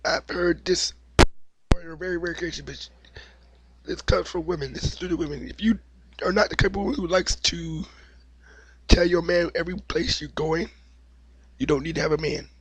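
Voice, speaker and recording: A man with a cold and a slightly raspy voice, giving an opinionated, repetitive monologue as the host of his own video. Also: fairly high pitch, normal speed, pauses often, no echo, rough recording. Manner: opinionated, preachy, repeats himself. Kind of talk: unscripted monologue vlog.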